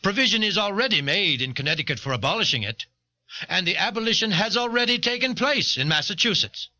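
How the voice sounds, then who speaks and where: Man acting a sharp-voiced, argumentative founding-era delegate. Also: sits high, lively intonation, a little fast, no echo, heavily acted. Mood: firm reasoning. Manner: formal, reasoning, emphatic. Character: pragmatic eighteenth-century New England delegate, argumentative. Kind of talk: dramatized historical debate speech. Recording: dull old cassette tape.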